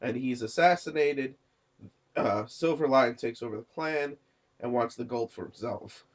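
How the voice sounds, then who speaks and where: A man's voice: mid-range voice, measured pace. Sick man coughing through a casual review. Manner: rambling, many fillers and restarts. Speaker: movie reviewer, channel host. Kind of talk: casual spoken movie review.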